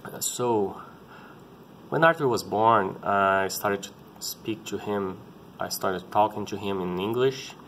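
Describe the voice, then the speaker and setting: Man in his thirties, Brazilian Portuguese accent, native Portuguese speaker using English. Low-pitched, earnest, halting delivery with frequent restarts. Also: a bit bright, slight background noise. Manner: halting, earnest, often restarting to find words. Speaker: parent sharing his experience. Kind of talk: casual personal vlog talk.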